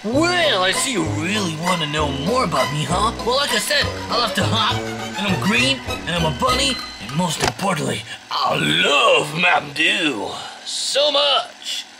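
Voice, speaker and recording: A man's voice: high voice, big pitch swings, unhurried, creaky, exaggerated voice, rough recording. A man doing a hyper green bunny toy. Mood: cheery. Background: music.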